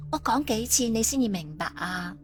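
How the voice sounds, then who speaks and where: A woman's voice: a little high, expressive, normal speed, faint music behind, natural voice. A woman playing a stern, determined female agent in audiobook dialogue. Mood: insistent.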